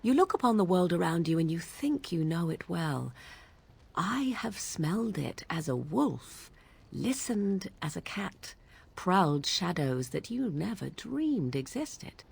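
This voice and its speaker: A woman's voice: fairly low pitch, very animated, measured pace, gravelly, darkish tone, husky, heavily acted. An actress playing an aloof, sardonic young witch from the wilds, speaking in a formal, archaic and haughty way in studio game dialogue. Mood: cool. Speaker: game companion character.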